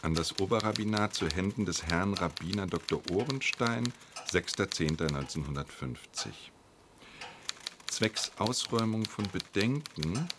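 A deep-voiced, methodical bureaucrat. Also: male voice, noisy background. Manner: formal, deliberate, bureaucratic. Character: dry, methodical man reading out official letters and certificates. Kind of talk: formal dictation of documents in a radio play.

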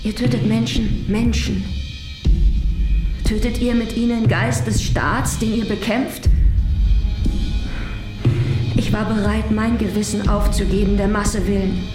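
A woman's voice: medium pitch, flat delivery, natural voice. Impassioned revolutionary woman, played by an actress, roomy sound. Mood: impassioned pleading. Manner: impassioned, deliberate, pausing delivery. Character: idealistic revolutionary woman of privileged upbringing, pleading against vengeance. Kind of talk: solemn declamatory stage acting. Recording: roomy sound with loud music underneath.

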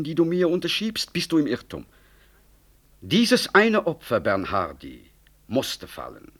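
An ambitious, calculating government official in a 1960s radio drama. His voice moves in lively, wide swings of pitch. He speaks in a rhetorical, condescending and diplomatically slippery manner. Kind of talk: 1960s German radio play acting.

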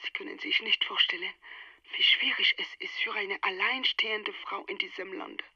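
An actress in a radio drama playing a young Nigerian widow, pleading and apologetic over the telephone. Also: female voice, medium pitch, steady tone, moderate pace, vocal fry, pushed and bright, breathy edge, no room sound, full character voice. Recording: dull, slightly distant phone line.

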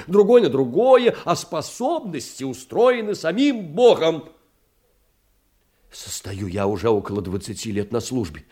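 An actor in an audio drama playing a meek, aging petty clerk, pleading in a fussy, old-fashioned, emotional way. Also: male voice, on the high side, a little mellow, slightly rough. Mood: reproachful, then defensive. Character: meek, wounded, aging petty government clerk, grey-haired. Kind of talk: theatrical audio drama, letter monologue.

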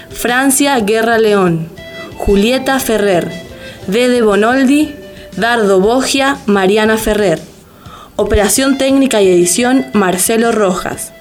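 A woman reading a script as a radio theatre announcer. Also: mid-range voice. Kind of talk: scripted narration and credits reading.

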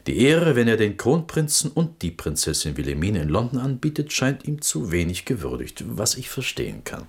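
A corrupt court minister in a radio play who drops sly insinuations. His lowish voice is husky and rises and falls widely. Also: huge pitch swings, normal speed, exaggerated voice. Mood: scheming. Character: scheming, venal male court minister.

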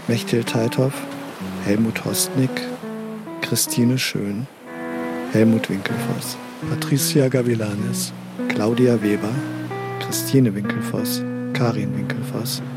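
Guy reading out radio play credits really slowly, loud plucked guitar music behind him. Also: on the low side. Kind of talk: scripted credits announcement.

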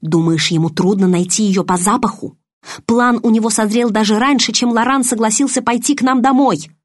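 Lady doing hotheaded bossy young guy in audiobook, husky, curt n irritated. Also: on the high side, brisk, dry recording, clean studio sound, voice pushed up, lightly acted. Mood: agitated, angry. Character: intense, hot-tempered, commanding young man. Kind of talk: dramatised audiobook reading.

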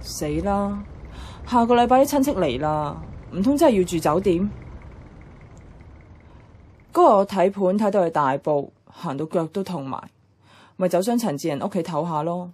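An actress playing a feisty, grumbling young flat-hunter with a Hong Kong Cantonese accent, expressive and slangy in a radio drama. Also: female voice, lowish voice, moderate pace, pauses often. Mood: exasperated.

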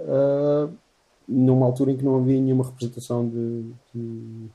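Man, European Portuguese accent, mellow dark tone, casual chat, rambling, self-correcting. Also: mid-range voice.

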